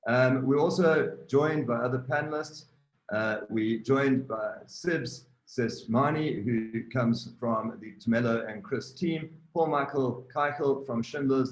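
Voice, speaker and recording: Man hosting a webinar in an echoey room. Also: mid-range voice. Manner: brisk, reading out guest bios. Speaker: webinar host. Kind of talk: introducing speakers. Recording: roomy echo.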